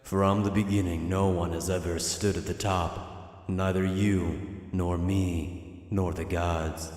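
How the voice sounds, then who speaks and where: A man's voice: sits low, level tone, takes it slowly, darkish tone. A man playing a cool, grandiose villain, as if remembered. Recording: echoing hall reverb effect.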